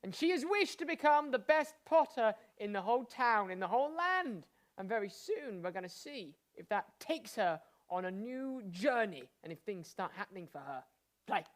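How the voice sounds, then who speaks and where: A woman's voice: mid-range voice, very animated, normal speed. A woman hosting and narrating a comic show, telling the story directly to an audience. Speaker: narrator and host. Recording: faint audience noise. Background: faint crowd.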